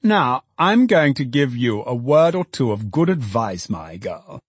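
A man plays a smug, jovial alderman who talks down to working folk, bouncing his voice up and down. The tone is a little husky, close and dry. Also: medium pitch, sing-song, unhurried, no room sound. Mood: patronizing. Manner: jovial, patronizing, chuckling. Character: hearty, condescending middle-aged male alderman.

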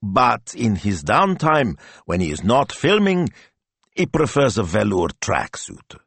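A man's voice: on the low side, sing-song, takes it slowly, creaky, a bit bright, slightly rough, no echo, pitched above the performer's own voice, exaggerated voice. A man doing a fussy, impatient schemer. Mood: scheming. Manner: exasperated, theatrical.

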